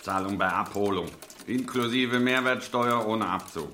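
An actor playing an old tailor, grumpy yet dreamy, in a slow, theatrical manner for a children's radio play. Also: male voice, lowish voice, sharp tone, a little hiss or hum. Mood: gruff, curt. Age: older. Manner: theatrical, slow, repeating himself.

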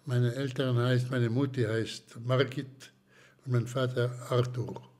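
An older man from Slovakia, giving slow, hesitant testimony in an interview with many pauses and restarts. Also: medium pitch, little pitch movement, darkish tone. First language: German as childhood language, long unused.

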